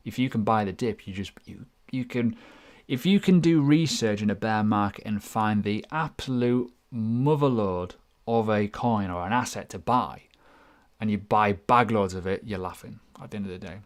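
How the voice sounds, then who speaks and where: A man's voice: fairly low pitch, normal speed. A man hosting a casual live question-and-answer stream, chatty and self-deprecating, rambling through asides. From United Kingdom. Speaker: crypto livestream host.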